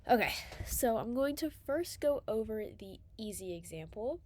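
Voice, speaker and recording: A woman's voice: on the high side, animated, moderate pace, darkish tone, slight background noise. A young woman with a congested voice from a cold, casually talking through a chemistry problem as a student tutor, chatty and full of fillers. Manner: chatty, filler-heavy, self-correcting. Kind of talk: casual chemistry walkthrough video.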